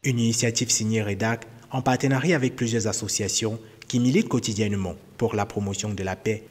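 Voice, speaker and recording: A man's voice: on the low side, steady tone, fairly quick, a little mellow, long reverb tail. A man reading scripted TV news narration as a reporter.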